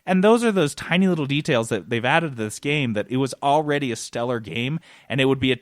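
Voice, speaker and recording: A man's voice: mid-range voice, animated, fast, no room sound. Male podcast co-host, enthusiastic and wordy, casual game chat, very dry close sound. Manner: enthusiastic, rambling explainer.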